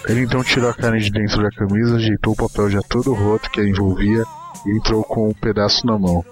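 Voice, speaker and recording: A man's voice: fairly low pitch, level tone, fast talker. Brazilian man narrating a story over music. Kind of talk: dramatic reading of a short story.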